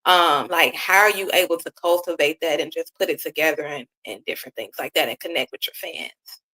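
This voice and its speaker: Bright-toned woman interviewer, chatty. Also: low-pitched, a little fast, low-quality recording. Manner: chatty, filler-heavy questions. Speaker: interviewer host. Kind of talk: casual interview.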